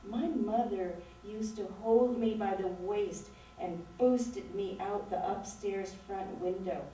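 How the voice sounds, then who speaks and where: A woman in her sixties, an author reading her poetry aloud and talking about it in a slow, measured, reflective way. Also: medium pitch, dark tone, a little hiss or hum. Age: 60s. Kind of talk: poetry reading with talk between poems. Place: lecture room at a literary event. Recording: very echoey room, voice distant from mic.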